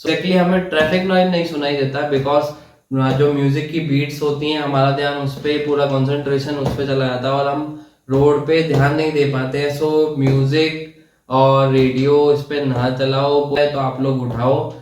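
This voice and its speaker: Echoey, flat-toned man explaining. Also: on the high side, unhurried, a little hiss or hum. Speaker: product reviewer. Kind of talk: casual unboxing and product explanation. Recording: roomy, echoey sound.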